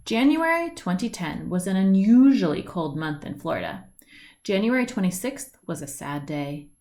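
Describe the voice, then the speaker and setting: Woman reading a storybook with lively intonation. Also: lowish voice, normal speed. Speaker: reader of a children's picture book. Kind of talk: read-aloud storybook narration. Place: indoors at home, at a wooden table by a window.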